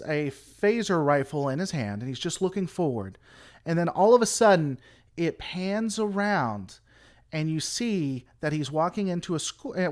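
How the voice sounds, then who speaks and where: A man hosting a casual livestream, rambling through an opinionated critique and answering chat. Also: on the high side. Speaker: livestream host.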